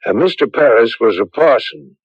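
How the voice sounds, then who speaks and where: A man playing a grave, thoughtful priest in a radio drama, speaking in a measured, probing way. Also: mid-range voice, sing-song, breathy edge, no echo. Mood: solemn, intent. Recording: muffled old radio broadcast.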